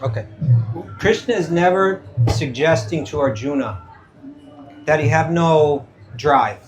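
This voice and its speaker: A man's voice: a little high, lively intonation, a little slow, music in the background. A man giving an informal scripture talk to a live audience as a spiritual teacher, conversational, checking in with listeners and acting out quoted dialogue. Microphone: event microphone.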